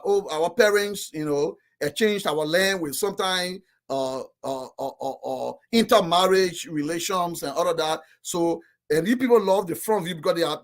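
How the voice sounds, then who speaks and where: Man, Liberian English accent, fairly high pitch, emphatic, casual chat. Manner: emphatic, insistent explanations. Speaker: political commentator. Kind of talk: casual discussion.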